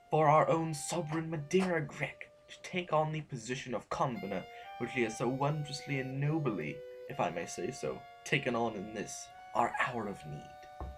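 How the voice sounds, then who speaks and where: A man playing a pompous, flattering aristocrat in an audio drama, with a florid, theatrical delivery. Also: medium pitch, a little slow, music in the background, lightly acted, poor audio. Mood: fawning, delighted. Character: pompous, flattering male aristocrat of royal line.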